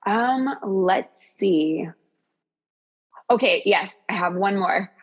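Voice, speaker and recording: A woman's voice: mid-range voice, lively intonation. A woman speaking as a coach and podcast guest, casual and confiding, often stopping to correct herself. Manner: halting, self-correcting, confiding. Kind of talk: casual, reflective podcast chat.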